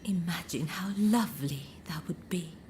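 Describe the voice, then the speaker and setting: A woman playing an earnest, devoted young woman in a game cutscene, speaking softly and hesitantly with formal politeness. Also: on the low side, moderate pace, slight background noise, close to an everyday voice. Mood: tender, relieved. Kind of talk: dramatic video game cutscene acting. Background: music.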